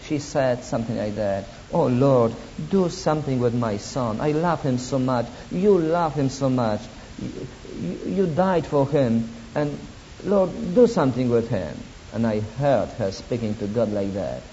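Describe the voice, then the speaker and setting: A man from Poland speaking English with a Polish accent as a guest preacher, giving a sermon with personal testimony in deliberate, halting non-native phrasing and wry asides. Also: mid-range voice, lively intonation, mellow. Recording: dull lo-fi recording with faint hiss.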